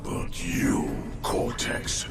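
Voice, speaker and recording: A man voicing a tyrannical, furious ancient evil mask spirit in a video game, gravelly, booming and commanding. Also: very slow, whispered, distant mic. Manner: menacing, theatrical, commanding. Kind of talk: villainous video game acting. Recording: very echoey, loud music behind.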